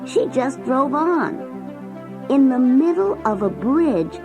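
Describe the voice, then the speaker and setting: A woman narrating a scripted children's story in an expressive storytelling manner. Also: sits high, slow pace. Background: music.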